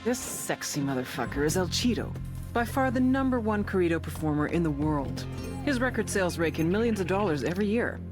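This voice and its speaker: A wisecracking female agent played by an actress narrates a game briefing. Her delivery is dry and crude, rising and dipping with lively emphasis. Music plays clearly behind her. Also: lowish voice, brisk, lightly acted. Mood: wry, brash. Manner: sardonic, crude, dry humour. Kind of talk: scripted sardonic narration. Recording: voice over music.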